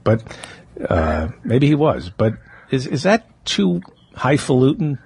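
A man with a husky voice hosting a casual interview, talking anecdotally in halting runs with repeated words. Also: medium pitch, sing-song, darkish tone, no echo. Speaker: podcast host and comedian.

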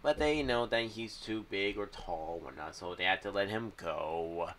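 Young guy rambling commentary, kinda halting, sounds a bit far from the mic w faint noise behind. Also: fairly low pitch, a bit bright. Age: young adult. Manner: rambling, halting, repeats himself. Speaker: YouTube vlogger. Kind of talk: casual commentary on a horror audio series. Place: small home room. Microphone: condenser mic with pop filter on boom arm.

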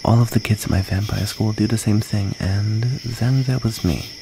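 Man acting as shy young male vampire, gravelly fry, hesitant, gentle, ASMR roleplay, crickets and clear background noise. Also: lowish voice, steady tone. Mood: hesitant, confiding. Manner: hesitant, halting, soft-hearted delivery. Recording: noisy, cricket ambience behind.

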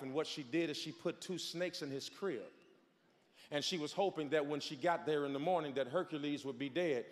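High-pitched man preaching in a hall. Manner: shouting, call-and-response preaching. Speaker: pastor. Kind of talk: preaching a sermon. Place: large church stage. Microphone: handheld microphone. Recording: long hall reverb.

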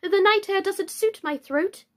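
A woman's voice: very high-pitched, unhurried, voice pushed up. A woman voicing a fussy old magpie in a storybook, with a prim, fretful way of talking. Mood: fussy, excusing. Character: fussy old magpie fretting about comfort. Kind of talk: children's storybook character reading.

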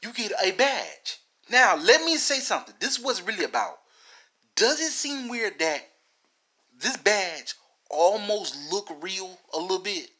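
A man's voice: high-pitched, sing-song, unhurried, pushed and bright. A man giving rambling video game commentary in a theatrical manner, repeating phrases and talking straight at the viewers.

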